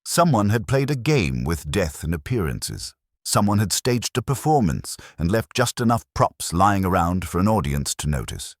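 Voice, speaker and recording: Very deep-voiced man narrating a mystery story. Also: very animated, moderate pace, no echo, studio quality.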